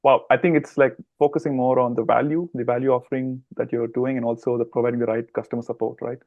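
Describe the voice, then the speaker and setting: A man's voice: mid-range voice, little pitch movement, fast talker, no room sound, phone-quality sound. A man hosting a podcast, laughing often and asking long, rambling questions. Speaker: podcast host. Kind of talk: casual interview questions.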